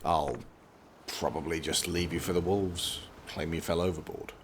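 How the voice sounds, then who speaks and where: Casting: actor for reserved, exasperated young prince, very low pitch, clipped formal delivery, audio drama, clear noise behind. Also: male voice, fairly even intonation, moderate pace, lightly acted. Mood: reluctant, stiff.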